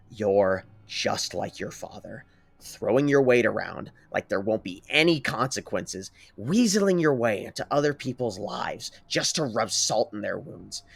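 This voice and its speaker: A man voicing a bitter teenage boy hero who insults a classmate in a low, cutting voice. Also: creaky, breathy edge, exaggerated voice.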